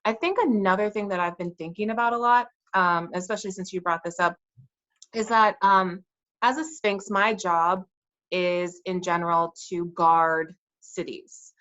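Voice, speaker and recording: A woman voicing a friendly female sphinx guardian in an improvised kids' interview, speaking in a relaxed, conversational way with frequent "um"s and "like"s over a video call. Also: fairly low pitch, a little slow, dull audio. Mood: calm thoughtful. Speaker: interview guest. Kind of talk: improvised role-play for kids.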